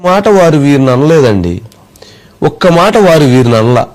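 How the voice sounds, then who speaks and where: A man giving political commentary in a deliberate, emphatic way, putting rhetorical questions. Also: on the high side, animated, a crowd in the background.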